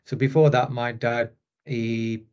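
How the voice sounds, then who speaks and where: Northern English man, flat even delivery. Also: medium pitch, slow, muffled recording. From Rochdale, northern England. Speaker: interview guest, sports medicine consultant. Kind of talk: conversational interview answers.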